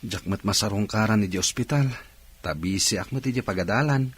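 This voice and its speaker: A man's voice: on the low side, brisk, breathy edge. A man playing a worried schoolboy son in an Ilocano radio drama, questioning and pleading.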